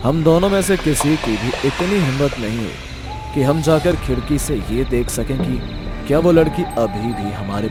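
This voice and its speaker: Casting: male storyteller, mid-pitched, scripted first-person horror narration with clear music behind.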